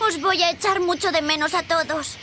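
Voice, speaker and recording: A sweet, sentimental fairy-tale youngster in a game dub. The voice is extremely high and bright, over faint background noise. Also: female voice, steady tone, fairly quick. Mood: fond, earnest. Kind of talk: animated video game dub.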